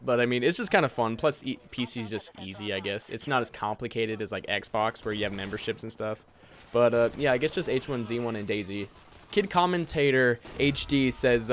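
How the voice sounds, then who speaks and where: A man's voice: medium pitch, a little hiss or hum. A twenty-year-old American man answering viewer questions in a casual, laid-back way, informal and often trailing off. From United States. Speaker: gaming and airsoft YouTuber.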